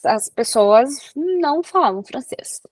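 A woman from Brazil with a Brazilian Portuguese accent, a researcher talking as a guest in a relaxed interview and explaining with everyday examples. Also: high voice, slow, dry recording. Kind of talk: casual interview conversation.

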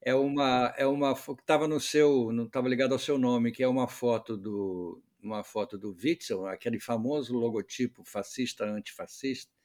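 A man hosting a casual podcast chat, animated and quick to laugh. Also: medium pitch. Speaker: podcast host.

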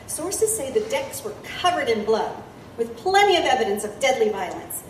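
Eager, animated lady reporter, played by a woman. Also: on the high side, moderate pace. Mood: earnest. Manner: hesitant but insistent. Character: eager, polite, impatient young woman reporter. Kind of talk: audio drama acting. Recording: roomy, distant, faint background noise.

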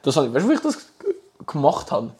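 A young man with a Swiss German accent, co-hosting a casual chat podcast, emphatic and quick to react and laugh. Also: a little high, wildly swooping pitch, a little mellow. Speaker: podcast co-host.

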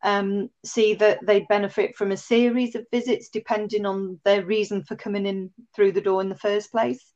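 Croaky-voiced woman interviewer, dull audio. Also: mid-range voice, flat delivery, moderate pace, hardly any pauses, a little mellow. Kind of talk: conversational interview.

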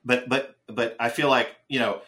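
A man's voice: medium pitch, steady tone, moderate pace, bright, forceful tone. A man co-hosting a casual film podcast, rambling and chatty with lots of restarts and fillers. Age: older than his co-host. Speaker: podcast co-host.

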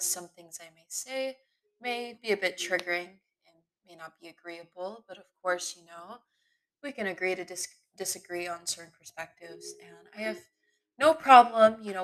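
A woman hosting her own podcast, talking off the cuff in a candid, rambling way, her voice sometimes smooth and sometimes raspy. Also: on the low side, low-quality recording. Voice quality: voice shifts between smooth and raspy. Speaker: podcast host. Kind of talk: unscripted solo podcast monologue. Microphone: computer mic in web browser.

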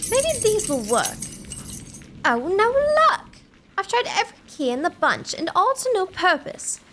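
A woman playing a mischievous, excitable young lady with theatrical exclamations in a gothic comedy audio drama. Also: very high voice, measured pace, a little hiss or hum, exaggerated voice. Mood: impatient, playful.

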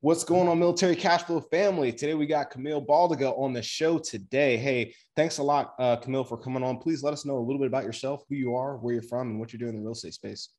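A man co-hosting a podcast, opening the show in an upbeat, energetic way and asking the guest questions. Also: medium pitch, fast talker, hardly any pauses. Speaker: podcast co-host. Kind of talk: podcast intro and interview.

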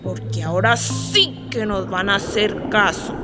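A woman's voice: mid-range voice, a little slow, natural voice. An actress playing a playful, wistful eight-year-old girl in a radio drama monologue, speaking in a simple, childlike way. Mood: wistful, earnest. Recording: music behind the voice.

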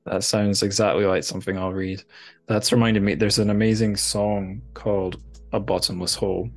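A man's voice: fairly low pitch, steady tone, normal speed. A man talking casually and conversationally as the host of a podcast.

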